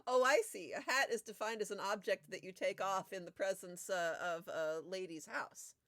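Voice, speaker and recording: A woman's voice: medium pitch, moderate pace, a bit bright, no echo, clean studio sound. A woman narrating as game master of a live-streamed tabletop game.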